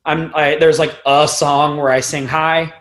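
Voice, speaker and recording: A man's voice: a little high, level tone, a little slow, a bit bright. A young adult man talking as an interview guest in a casual, rambling, informal way, with some loose swearing. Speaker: band frontman, podcast guest.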